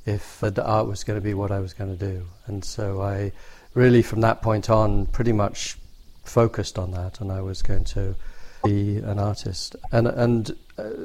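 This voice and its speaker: A middle-aged man answering interview questions in a measured, thoughtful way, often restarting his sentences. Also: fairly low pitch, a little mellow. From born in Borneo, grew up in Indonesia. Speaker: film production designer.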